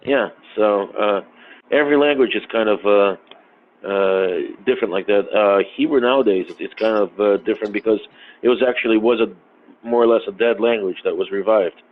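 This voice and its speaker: A man in casual podcast conversation, talking haltingly with many fillers and restarts. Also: lowish voice. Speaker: podcast guest. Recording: tinny phone-like sound, faint noise.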